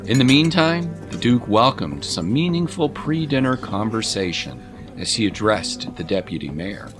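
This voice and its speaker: Male storyteller, low-leaning pitch, dramatic scripted narration, expressive, measured, literary, prominent music bed.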